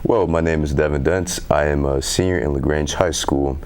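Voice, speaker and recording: Teen guy, super deep voice, earnest and measured, lots of ums. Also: fairly even intonation, darkish tone. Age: teens. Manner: earnest, measured, with pauses and fillers. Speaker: student guest. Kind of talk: interview answers. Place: local TV studio.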